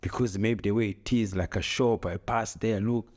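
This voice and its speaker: A middle-aged East African man speaks in a deep voice with accented, second-language English. He talks reflectively, as on a podcast, often repeating a phrase before finishing the thought. He poses rhetorical questions as he reasons. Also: steady tone, normal speed, darkish tone. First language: not English. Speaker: podcast co-host. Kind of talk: reflective conversational podcast talk.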